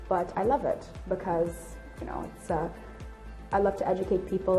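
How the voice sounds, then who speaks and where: A woman's voice: lowish voice, warm tone, rough recording. A woman in her late twenties giving candid, articulate explanations in an interview. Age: late 20s. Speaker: air traffic control officer and instructor being interviewed.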